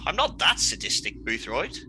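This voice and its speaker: A melodramatic villain from a comedy radio play, pompous and mocking. His voice is very bright and sharp, swooping widely in pitch, with faint noise behind it. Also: wildly swooping pitch, normal speed, full character voice. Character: gleeful, scheming melodramatic male supervillain, Mister Munge.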